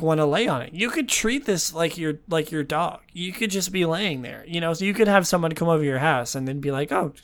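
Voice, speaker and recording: A young man hosting a casual chat show, talking in a wry, rambling way full of fillers, his voice slightly hoarse. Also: fairly high pitch, a bit bright. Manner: chatty, wry, lots of fillers and restarts. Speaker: podcast host.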